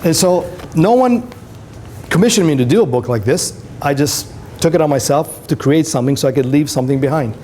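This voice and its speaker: A man's voice: on the high side, lively intonation, brisk, mellow. A middle-aged man from Greece, a native Greek speaker, gives an informal, conversational motivational talk to students as a visiting photographer. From Greece, moved to Canada at twelve. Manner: conversational, anecdotal, addresses listeners with tag questions. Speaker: photographer, career day speaker. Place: school classroom, indoors. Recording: noisy room with background hiss.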